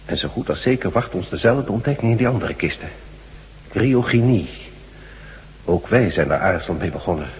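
A man acting in a Dutch radio drama as a learned doctor excited by scientific discovery, speaking in an emphatic, lecturing manner. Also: fairly low pitch, moderate pace, pauses often, slightly rough, highs cut off. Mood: solemn explaining. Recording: echoey, noisy radio play recording.

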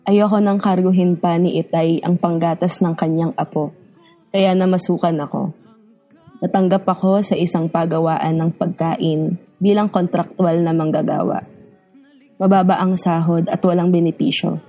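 A woman's voice: fairly low pitch, steady tone, normal speed, mellow, lo-fi sound. A woman playing a humble, weary young mother, narrating her story earnestly in a radio drama. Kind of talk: scripted first-person drama narration. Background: music bed.

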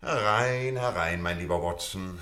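A man playing a calm, welcoming adult detective in an old radio play, measured. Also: low voice. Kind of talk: 1960s German radio play acting.

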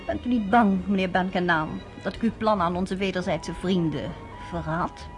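An actress playing a proud, curious American nurse in a radio drama, talking firmly and with challenge. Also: female voice, lowish voice, fairly even intonation, moderate pace, faint music behind, close to an everyday voice.

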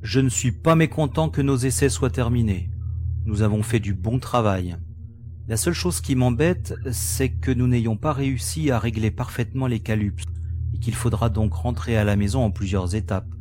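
An actor playing an easygoing, practical spaceship pilot in an audio sci-fi drama, speaking in a relaxed, reasonable way. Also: male voice, medium pitch, normal speed, natural voice. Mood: satisfied.

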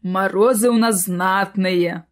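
A woman's voice: fairly even intonation, lightly acted. Old male winter wizard, played by a woman: hearty, commanding, kindly, rolling storybook delivery. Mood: jovial. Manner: booming, rolling, slow delivery.

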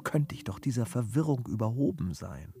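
An actor playing a modest, reserved gentleman traveller in a German radio play, polite, measured and gently ironic. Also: mid-range voice, very animated, creaky, soft background music. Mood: uneasy, evasive.

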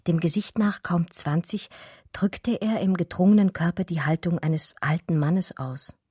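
An actress playing an educated art historian who recounts her love story in a radio drama, measured and eloquent. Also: on the low side, warm tone, slightly rough, no room sound, clean studio sound. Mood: measured, scholarly. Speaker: narrator and lead character. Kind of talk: literary radio drama narration.

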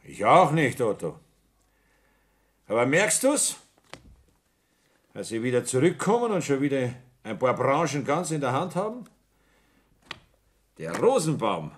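An actor playing an ambitious, bigoted middle-aged bookkeeper and small-time politician with a Bavarian accent, self-satisfied and insinuating, in a 1960s radio play. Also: male voice, mid-range voice. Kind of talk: naturalistic 1960s German radio play acting.

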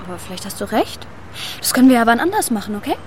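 Radio drama role: teasing woman, late twenties, forthright, higher voice, noisy surroundings. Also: very animated, rapid-fire. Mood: playful, forward. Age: young adult. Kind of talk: modern German radio drama acting.

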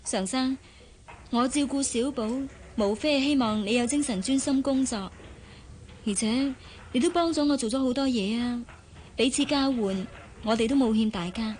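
An actress with a Hong Kong Cantonese accent playing a kind, modest young secretary in a radio drama. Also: female voice, a little high, normal speed, a little mellow, a little hiss or hum, lightly acted. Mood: polite, modest.

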